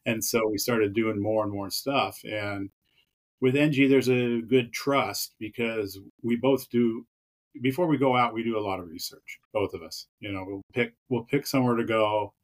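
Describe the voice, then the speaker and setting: A man's voice: on the low side, moderate pace. A man chatting as a podcast guest, rambling with many fillers and restarts. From Pacific Northwest of the US. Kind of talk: casual interview chat.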